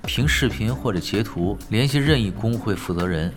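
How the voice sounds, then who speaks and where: A man's voice: on the low side, animated, normal speed, natural voice. An actor playing a laid-back, shameless young male pro gamer who loves money, with a nonchalant, teasing manner, in an audio drama. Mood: amused, unbothered. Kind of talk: Chinese audio drama acting. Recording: music behind the voice.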